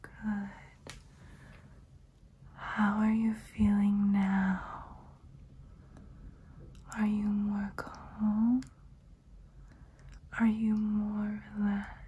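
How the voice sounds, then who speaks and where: A woman performs an ancient female vampire in an ASMR roleplay. Her breathy whisper is soft and mellow, drawn out very slowly and kept almost flat, soothing and hypnotic throughout. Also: mid-range voice, lo-fi sound, a little hiss or hum. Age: young adult. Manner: slow, soothing, hypnotic, repetitive. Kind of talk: ASMR personal-attention roleplay. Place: small room indoors.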